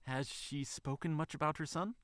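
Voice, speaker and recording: A man voicing a small, earnest female bunny in a storybook, soft and politely pleading. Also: very high voice, lively intonation, brisk, no echo, studio quality, voice pushed up, exaggerated voice. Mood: meek.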